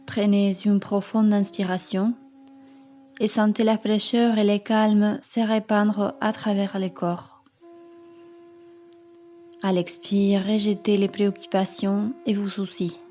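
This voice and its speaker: A woman yoga teacher calmly leading a guided meditation in measured, instructing phrases. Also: mid-range voice, lo-fi sound. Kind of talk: slow guided meditation narration.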